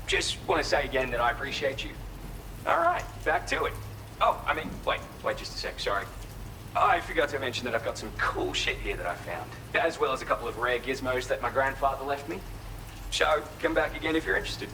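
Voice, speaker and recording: Actor as a chatty laid-back trader, rain behind. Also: male voice, mid-range voice, lively intonation, brisk, natural voice. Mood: friendly, eager. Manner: casual, slangy, eager salesman patter. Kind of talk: video game cutscene acting. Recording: clear noise, rain behind the voice. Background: rain and ambient noise.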